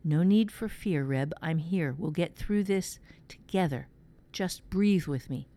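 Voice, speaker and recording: A woman reading a fantasy story as its steady, protective boy narrator, in measured phrases. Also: low-pitched. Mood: soothing. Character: first-person boy narrator, steady, protective dormitory pupil.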